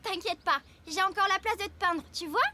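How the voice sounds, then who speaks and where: A woman voicing a sensible, helpful young child in a children's animated series, friendly and matter-of-fact. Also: very high voice, fairly quick, very noisy. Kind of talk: children's cartoon dubbing. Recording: music under the voice.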